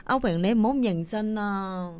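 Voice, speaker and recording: A woman's voice: mid-range voice, moderate pace, a little mellow, natural voice. A woman playing a composed, easygoing teacher in a radio drama, teasing and assured. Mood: teasing, amused. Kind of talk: Cantonese radio drama acting.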